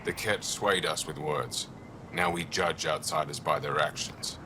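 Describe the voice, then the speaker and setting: An actor playing a stern, wary alien official in a video game, with a clipped, reserved manner. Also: male voice, very deep voice, steady tone, unhurried, noisy background. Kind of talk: game dialogue.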